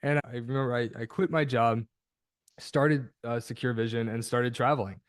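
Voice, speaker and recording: A man's voice: medium pitch, fairly even intonation, moderate pace, dry recording, studio quality. A man hosting an interview podcast, upbeat and chatty, restarting his sentences often. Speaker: podcast host.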